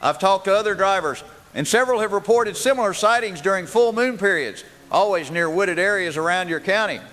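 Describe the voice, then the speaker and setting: An actor playing an earnest, insistent American truck driver calling in to a radio show in a scripted drama. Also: male voice, high voice, animated, brisk, a bit bright. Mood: earnest, uneasy. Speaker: radio caller. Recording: long reverb, faint noise.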